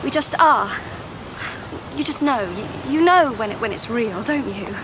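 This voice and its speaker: An actress playing a romantic, open-hearted young Victorian gentlewoman in an audio drama. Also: a little high, lively intonation, normal speed, noisy background, natural voice. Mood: elated, in love.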